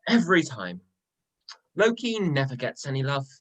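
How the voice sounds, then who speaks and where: A man's voice: medium pitch, big pitch swings, normal speed, a bit bright. A man playing a sardonic, petulant trickster god, flippant and sarcastic, in an amateur myth reading.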